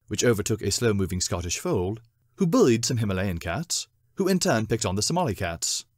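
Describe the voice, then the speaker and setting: Male narrator for spooky storybook fiction: lively intonation, deliberate theatrical phrasing, dry recording. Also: lowish voice, no room sound. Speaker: narrator, short story reader. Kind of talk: dramatic scripted storybook narration.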